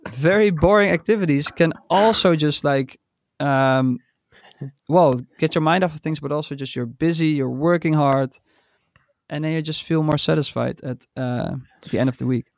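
Relaxed DJ, dry close voice. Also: male voice, on the high side, normal speed, no echo. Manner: relaxed, rambling, with fillers and laughs. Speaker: DJ and podcast co-host. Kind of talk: casual podcast chat.

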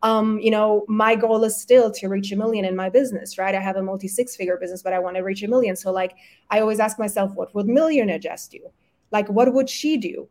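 A woman's voice: mid-range voice, a little mellow. Earnest woman coach, German and Polish native. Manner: earnest, motivational, quick self-corrections. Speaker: guest, women's health coach. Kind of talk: casual podcast conversation.

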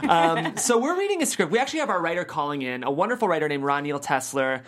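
A woman's voice: sits low, big pitch swings, fast. A woman hosting a script table read and reading out the stage directions.

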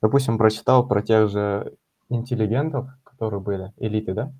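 Hesitant young man with low mellow voice. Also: steady tone, a little fast. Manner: hesitant, thinking aloud. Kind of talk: casual discussion.

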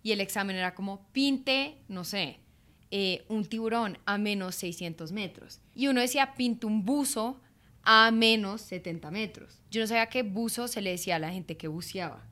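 A woman in her late twenties co-hosts a relaxed podcast, her voice sitting in an ordinary middle register. She tells stories in a chatty, animated way, leaning on fillers as she goes. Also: medium pitch, normal speed. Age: 20s. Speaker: podcast co-host. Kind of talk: casual chat, storytelling.

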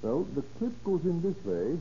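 A muffled, lightly hissy old radio recording features a cautious, courteous store clerk. The voice is fairly high, very soft in tone and lively in pitch as he explains things hesitantly. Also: moderate pace. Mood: helpful, uneasy. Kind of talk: 1930s American radio crime drama acting.

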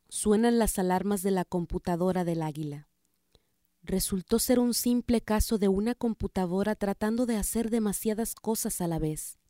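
A woman's voice: medium pitch, mellow, dry recording, studio quality. A woman reading scripted documentary narration as the narrator.

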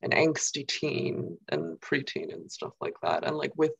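A woman's voice: a bass voice, sing-song, a little slow, creaky, husky. A young woman from New York, talking casually as an interview guest and filling her speech with "like" and "um". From New York, USA. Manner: rambling, many fillers and "like". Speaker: guest poet.

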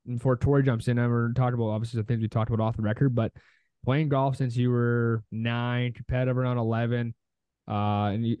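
A man's voice: mid-range voice, mellow, no room sound. A man hosting a golf podcast in casual, slangy, informal chat. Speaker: podcast host. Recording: dull lo-fi dry audio.

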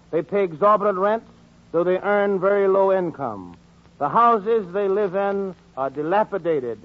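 An urgent, earnest clergyman in a 1940s radio play. His voice sits high and he pleads formally, in declamatory phrases. The old broadcast sound is dull and limited. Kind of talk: 1940s radio drama acting.

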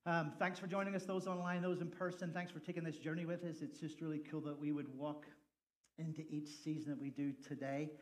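Male pastor, casual and joking from the pulpit, slightly raised voice. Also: on the high side. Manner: joking, chatty, loud laughing asides. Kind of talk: sermon opening with jokes. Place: church sanctuary.

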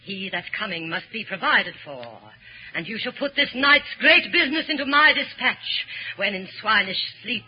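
A woman's voice: mid-range voice, expressive, normal speed, breathy edge. An actress playing a ruthless, ambitious noblewoman in theatrical 1940s radio Shakespeare, intense and commanding. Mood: scheming, commanding. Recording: dull lo-fi 1940s radio recording.

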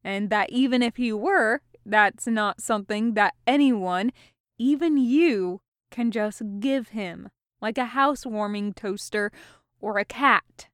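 A savvy male dwarf in a fantasy audiobook, read in character by a woman, wry and patient. The lines are deliberate and emphatic, with little room sound. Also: on the high side, fairly bright tone, no room sound, clean studio sound. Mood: earnest advice.

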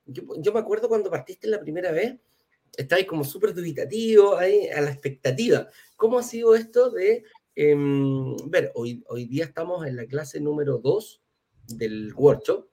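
Lively Chilean man hosting, husky creaky voice. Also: on the high side, normal speed. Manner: chatty, informal, uses affectionate diminutives. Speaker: host of a live real estate investment class. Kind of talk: informal live webinar hosting and interviewing.

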